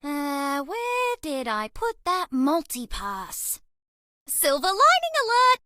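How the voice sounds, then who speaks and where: A woman's voice: high-pitched, wildly swooping pitch, takes it slowly, sharp tone, dry recording, clean studio sound, exaggerated voice. A woman voicing a bubbly, cheese-obsessed young alien rodent space traveler in a video game, with a perky, theatrical delivery. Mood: uncertain, then cheerful, playful. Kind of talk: animated game character voice lines.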